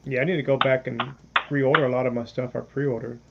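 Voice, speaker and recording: Gravelly forty-six-year-old man, lo-fi. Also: mid-range voice, steady tone, fast. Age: 40s. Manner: laid-back, brief remarks. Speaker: podcast co-host. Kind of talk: casual chat. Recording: dull lo-fi audio with clear hiss.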